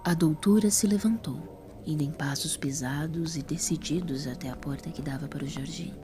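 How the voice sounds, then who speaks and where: A woman's voice: low voice, normal speed, music in the background. A woman with a Brazilian accent reading scripted narration for a dramatic audio story.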